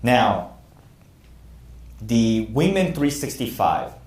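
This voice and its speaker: A man's voice: medium pitch, big pitch swings, extremely slow, a little mellow. Earnest man lecturing in an echoey room. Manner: earnest, candid about own past mistakes. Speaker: military instructor. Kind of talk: classroom lecture.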